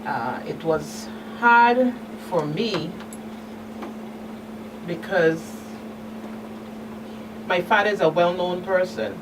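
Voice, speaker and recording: A woman's voice: lowish voice, extremely slow, pauses often. Distant woman radio guest. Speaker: guest, community activist. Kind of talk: live radio interview. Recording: distant mic, clear background noise.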